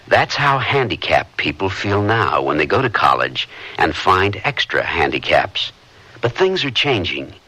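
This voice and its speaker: A man's voice: sits low, sharp tone, no room sound. A man reading a scripted public service message, addressing the listener directly and earnestly.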